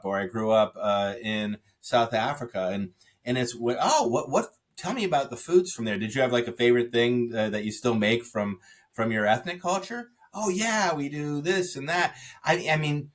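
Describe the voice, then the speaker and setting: A man speaking as a podcast guest, telling stories in an animated way with frequent restarts and "you know" fillers. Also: mid-range voice. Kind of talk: conversational interview.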